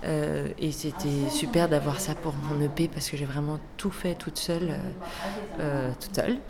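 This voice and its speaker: A woman's voice: low-pitched, fairly even intonation, normal speed, a crowd in the background. A young woman from Chile, a singer, chatting casually in an interview with hesitant fillers. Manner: hesitant, many fillers, laughs softly. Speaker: singer guest.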